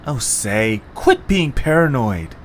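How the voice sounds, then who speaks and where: A man voicing an easygoing, sensible young creature boy with animated, casual delivery in an amateur cartoon audio drama. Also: mid-range voice, takes it slowly, heavy background noise. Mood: skeptical.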